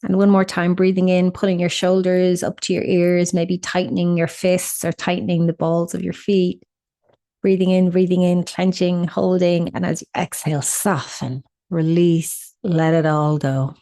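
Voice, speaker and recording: A woman with a soft-edged, mellow voice sitting a little low in pitch guides a meditation. She gives soothing, simple instructions and leaves deliberate pauses between them. The recording is dry and close. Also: little pitch movement, dry recording.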